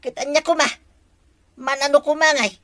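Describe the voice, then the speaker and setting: A woman's voice: sits high, steady tone, normal speed, close to an everyday voice. A woman with an Ilocano accent playing a scheming village gambler in a comedy drama.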